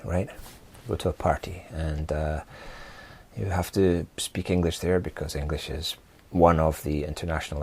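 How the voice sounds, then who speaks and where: A man with a low voice gives an informal English lesson as a teacher. He is from Scotland and speaks slowly, in a halting way, pausing as he lays out simple phrases for learners. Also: darkish tone, slightly rough. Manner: hesitant, halting delivery with example phrases. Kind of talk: informal language lesson to camera.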